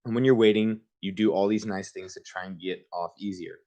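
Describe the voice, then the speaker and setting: A man's voice: low voice, steady tone, a little fast, dark tone. A man reading a children's book aloud in an informal way, with casual asides of his own. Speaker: reader hosting a book livestream.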